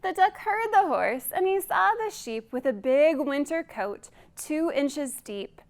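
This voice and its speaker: Slow, high-voiced woman telling children's stories. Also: lively intonation. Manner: singsong, deliberate, asks listeners questions. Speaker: children's librarian, storytime host. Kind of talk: read-aloud storytelling for young children.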